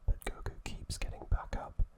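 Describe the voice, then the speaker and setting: ASMR host, sex indistinct: breath-only whisper for scripted trivia narration, soft throughout. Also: moderate pace.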